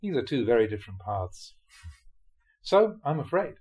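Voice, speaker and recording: A man, a Buddhist monk, reads translated spiritual teachings aloud in a scripted audiobook style, with measured, deliberate phrasing in short clauses. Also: mid-range voice, big pitch swings, darkish tone. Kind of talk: scripted audiobook-style reading of dharma talks.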